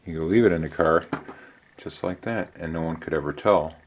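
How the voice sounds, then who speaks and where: A middle-aged man giving a casual hands-on how-to demonstration in an unhurried, conversational way with hesitant pauses. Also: extremely low pitch. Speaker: DIY channel host. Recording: clear background noise.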